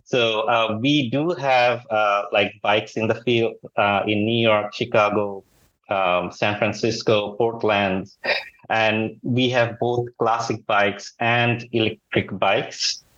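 A man's voice: fairly low pitch, fairly even intonation, slow pace, a bit bright. A man in his forties from Bangladesh, answering interview questions in an earnest, polite way. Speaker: guest engineer. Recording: dull lo-fi video call.

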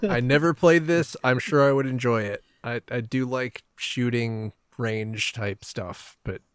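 Male podcast panelist, medium pitch, informal gamer chat, dry recording without room sound. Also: unhurried, smooth, no room sound. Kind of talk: casual gaming podcast chat.

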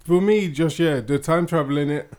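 A man's voice: a little high, normal speed, a little mellow. Rambling man with many restarts. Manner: rambling, hesitant, full of restarts and fillers; laughs often. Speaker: podcast co-host. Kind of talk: casual chat.

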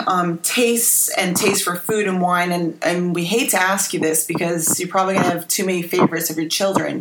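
A woman hosting a podcast, asking friendly clarifying questions in casual chat. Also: fairly low pitch. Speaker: podcast host. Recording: roomy and noisy, with clicks.

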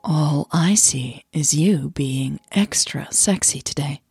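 Female narrator doing a cheeky smitten woman, husky drawly low voice, flirting, audio romance. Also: takes it slowly, darkish tone, no echo, faint music behind, heavily acted. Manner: drawling, playful.

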